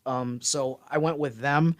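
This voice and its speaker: A man co-hosting a sports podcast, explaining his views in a casual, measured way. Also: medium pitch, fairly even intonation, no echo, clean studio sound. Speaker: podcast co-host. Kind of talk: casual sports analysis chat.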